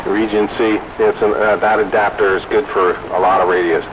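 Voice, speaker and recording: A man's voice: lowish voice, level tone, moderate pace. A man, a radio hobbyist, talking casually over a radio link. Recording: noisy, dull radio transmission.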